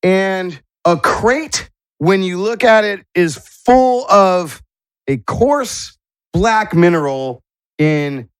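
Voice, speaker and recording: A man with a slightly high voice and lively, swinging intonation. He runs a tabletop role-playing game, narrating scenes and putting questions to players as game master. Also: extremely slow, clean tone.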